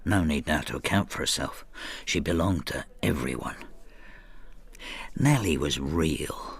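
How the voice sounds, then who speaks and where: Bitter old pensioner, very low pitch, husky, sardonic, brooding, radio drama. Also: male voice, expressive, unhurried, heavily acted. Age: older. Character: bitter, hard-drinking old husband, a pensioner. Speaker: lead actor and narrator. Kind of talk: radio drama acting, confessional narration.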